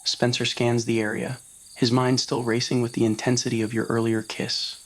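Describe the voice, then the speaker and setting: A man's voice: medium pitch, completely flat delivery, normal speed, low-quality recording. Man narrating a scripted romantic story. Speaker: narrator of fan fiction audio roleplay.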